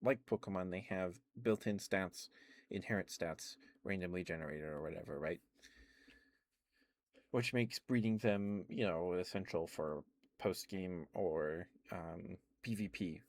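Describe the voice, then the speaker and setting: A man with a deep voice chats casually in the manner of an ASMR streamer. He rambles haltingly, often stammering and restarting his phrases. The sound is close on the microphone and very dry, with hardly any room to it. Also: no room sound. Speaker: livestream host.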